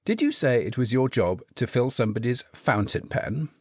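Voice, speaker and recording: A woman's voice: extremely low pitch, big pitch swings, moderate pace, darkish tone, husky, no echo, studio quality, full character voice. A man voicing a curious, polite girl who keeps asking puzzled questions.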